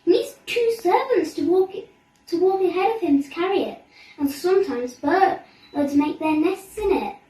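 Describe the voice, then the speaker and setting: A woman's voice: extremely high pitch, expressive, moderate pace, dark tone, voice pushed up. A woman voicing a bossy, breezy little princess in a children's story, with an imperious, dismissive manner. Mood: haughty, airy. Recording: echoey room, distant mic.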